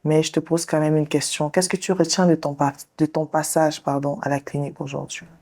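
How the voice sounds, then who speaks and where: A woman therapist hosting the show, leading a guest through a slow guided visualization and counseling talk in a soothing, directive way. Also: low voice, level tone, mellow. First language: English. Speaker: sex therapist and show host.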